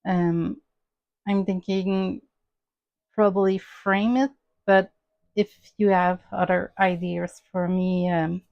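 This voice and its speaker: Slow, hesitant woman with a Québécois French accent. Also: lowish voice, little pitch movement, gravelly, no echo, muffled recording. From Quebec, Canada. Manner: hesitant, many "um" and "uh" fillers. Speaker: crafting channel host. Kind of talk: casual show-and-tell vlog.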